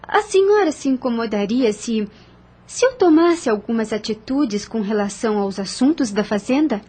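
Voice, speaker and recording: A woman's voice: on the high side, lively intonation, moderate pace, a little mellow, slightly rough. A woman playing an earnest, resolute young heiress in an audio novel, speaking formally with a Brazilian accent. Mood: grateful, polite.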